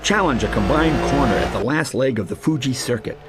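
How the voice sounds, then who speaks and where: A man's voice: medium pitch, animated, fairly quick. A man reading scripted instructional narration for a racing video game tutorial, in an explanatory manner. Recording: engine and race car noise throughout. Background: loud race car engines.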